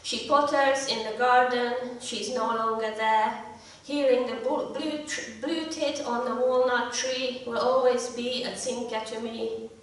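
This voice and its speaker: A middle-aged woman with a slightly croaky voice, reading her poetry in a measured, deliberate way at a live event. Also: on the high side, steady tone. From Hungary. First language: Hungarian. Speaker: poet. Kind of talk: live poetry reading with short spoken introductions. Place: indoor live event room. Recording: echoey room, distant mic.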